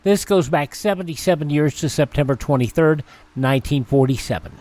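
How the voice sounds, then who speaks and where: A man's voice: fairly high pitch, a little fast, a little mellow. A man hosting a radio show, introducing programs in a casual, conversational way with folksy asides.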